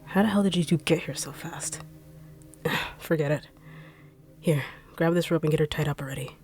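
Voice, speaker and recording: A young woman voicing a blunt, sharp-tongued treasure-hunting bodyguard in an audio roleplay drama, brusque and mocking. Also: low voice, normal speed, soft background music. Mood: menacing.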